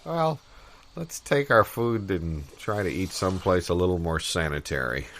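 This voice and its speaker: A man's voice: deep voice, expressive, normal speed, fairly bright tone, slight background noise. A man voicing a whimsical, genial professor in an improvised audio comedy sketch. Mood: genial, silly. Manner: silly, genial. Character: whimsical, genial male professor adrift in dirigible.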